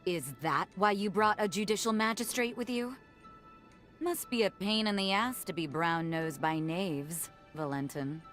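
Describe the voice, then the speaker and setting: A woman's voice: mid-range voice, measured pace, a bit bright, faint music behind, close to an everyday voice. A woman voicing a defiant, sardonic noblewoman in a video game, with a blunt, commanding, barbed way of talking. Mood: mocking. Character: defiant, sardonic noblewoman ruling a sunken city. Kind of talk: scripted video game cutscene acting.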